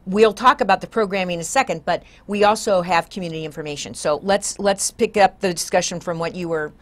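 Emphatic woman host with a lowish voice. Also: quick pace. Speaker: talk show host. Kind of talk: conversational TV interview. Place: indoor TV talk show studio.

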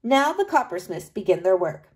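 A woman voicing a diligent, observant woman assistant in a sculptor's workshop, in a steady descriptive storybook reading. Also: medium pitch, normal speed, natural voice.

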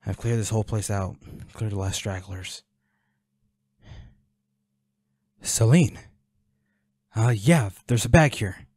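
A man's voice: on the low side, expressive, measured pace, a little mellow, husky, no echo. A man playing a protective, worried boyfriend in an intimate audio roleplay, speaking in a pleading, soothing, urgent way. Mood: tense, focused. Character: protective, worried boyfriend tending his injured werewolf partner.

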